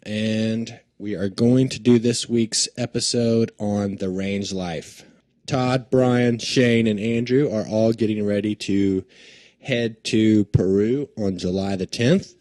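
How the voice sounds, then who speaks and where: A man's voice: lowish voice, fairly even intonation, slow pace, darkish tone, no echo, clean studio sound. A man hosting an episode, introducing it in a casual, conversational way. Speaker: show host.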